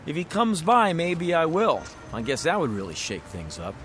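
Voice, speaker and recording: A man's voice: a little high, wildly swooping pitch, normal speed, a bit bright, soft background music. A man playing a slangy used-car lot owner with a salesman's patter in an audio drama. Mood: frank.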